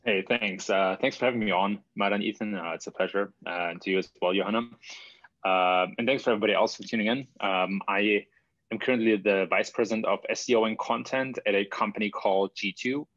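German-accented guy on a video call, lowish voice, dry muffled sound, lots of uh's. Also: brisk, dry recording. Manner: steady, frequent "uh" fillers. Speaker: guest speaker. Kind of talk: webinar self-introduction. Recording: dull, dry online call audio.

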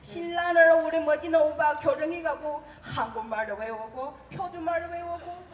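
Chatty woman, high voice, echoing hall. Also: normal speed. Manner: chatty, emotional, frequent sighs of 아이고. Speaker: contest participant. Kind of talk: personal story told on stage. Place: event hall. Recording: roomy hall, distant mic, faint noise.

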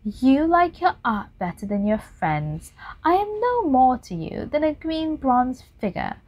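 Woman reader as a vain, anguished young man; high, pleading and lively. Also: measured pace, highs cut off, full character voice. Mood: bitter. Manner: impassioned, pleading.

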